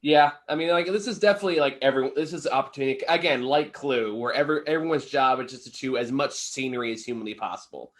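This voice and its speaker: A man's voice: medium pitch, fast, hardly any pauses. Opinionated man in casual review chat. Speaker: film reviewer and podcast co-host.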